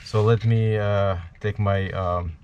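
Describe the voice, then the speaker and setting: A man with a heavy Ukrainian accent, giving a hands-on tutorial at a workbench in a halting way, full of fillers. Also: on the low side, fairly even intonation, a little mellow, dull audio, a little hiss or hum. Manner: halting, many fillers, talks while working. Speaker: prop maker.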